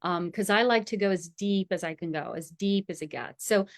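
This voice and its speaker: Woman talking astrology in an interview, excited and lively, dry sound, mid pitch. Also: normal speed, no room sound. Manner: enthusiastic, animated, frequent laughter. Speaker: astrologer and school founder. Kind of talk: conversational interview.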